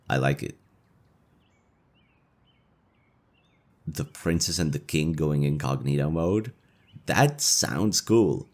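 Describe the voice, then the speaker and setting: A man playing a young elven leader and playful, affectionate boyfriend in a romantic audio roleplay, talking in a casual, teasing way. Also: low-pitched.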